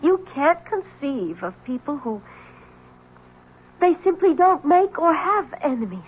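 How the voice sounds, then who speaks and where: An actress playing a devoted, intense wife in a 1970s radio drama, speaking in a crisp, proud, emphatic way. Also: female voice, high-pitched, very animated, unhurried, narrow, tinny audio. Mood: defiant. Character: devoted, intense young wife insisting on her story.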